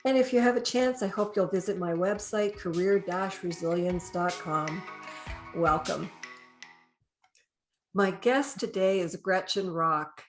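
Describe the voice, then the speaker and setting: A woman's voice: fairly low pitch, a little slow, soft background music. A woman with a Canadian accent, speaking as the host of a podcast interview.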